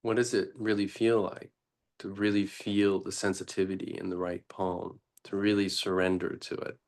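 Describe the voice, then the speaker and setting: A man teaching meditation in an unscripted, deliberate way, pausing often between short phrases. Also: deep voice, level tone.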